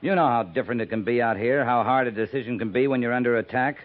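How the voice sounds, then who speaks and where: A man plays a steady, loyal cavalry captain in a radio western. He is terse and plain-spoken, his mid-range voice coming through a thin, phone-like 1950s recording. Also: mid-range voice, fast talker, close to an everyday voice. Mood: firm, arguing. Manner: terse, firm. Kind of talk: 1950s radio drama acting.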